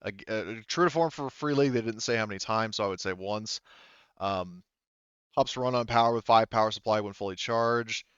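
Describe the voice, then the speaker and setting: Dry, low-voiced man explaining game rules. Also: a little fast, fairly bright tone, clean tone, no room sound, highs cut off. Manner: hesitant, self-interrupting, often double-checking. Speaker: game master.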